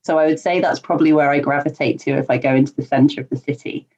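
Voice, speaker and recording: A woman's voice: low-pitched, steady tone, fast talker. Earnest woman guest on a muffled line. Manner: warm, earnest, frequent laughs and ums. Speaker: podcast guest, life coach. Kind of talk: casual interview answers. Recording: dull lo-fi remote audio.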